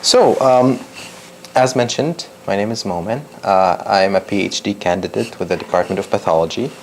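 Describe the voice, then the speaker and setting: A young man from Lebanon with a low, gravelly voice gives a public science talk. He talks hesitantly, with many ums and restarts, and a faint hiss of noise sits under the recording. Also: moderate pace, rough recording. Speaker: PhD researcher giving a talk.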